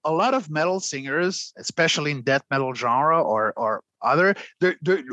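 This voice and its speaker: A man's voice: fairly high pitch, expressive, moderate pace, sharp tone, no room sound. Man explaining his throat singing. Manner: explains with demonstrations, clears throat often. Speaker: guest, extreme vocalist and voice actor. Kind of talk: conversational interview.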